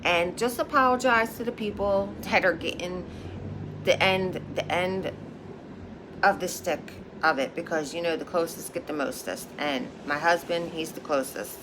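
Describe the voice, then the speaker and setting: A woman from Philadelphia with a Philadelphia accent, talking to camera in a casual vlog as a high priestess, emphatic and repeating her points with a frequent "okay?". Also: measured pace, background noise. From Philadelphia, United States.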